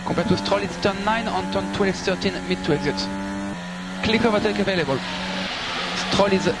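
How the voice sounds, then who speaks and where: A man's voice: high-pitched, moderate pace. A man working as a race engineer, giving clipped, procedural instructions and updates over team radio. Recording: very noisy car team radio transmission. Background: loud car noise.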